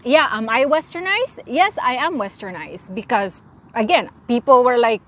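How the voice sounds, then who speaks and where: A woman's voice: on the high side, lively intonation, moderate pace, fairly bright tone. A young woman from the Philippines with a Filipino accent, answering interview questions frankly and earnestly, often restarting her words. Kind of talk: casual interview.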